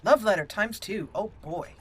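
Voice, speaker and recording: A woman giving playful, expressive let's play commentary, chatting and thinking aloud as she explores a game. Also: mid-range voice, normal speed, a little hiss or hum. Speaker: gaming video host.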